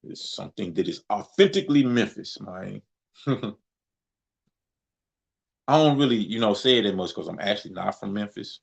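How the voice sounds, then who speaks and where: A young man from Mississippi with a Southern accent, talking in a relaxed, reflective way and often repeating his phrases. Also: lowish voice, moderate pace, gravelly, long pauses. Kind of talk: casual conversation.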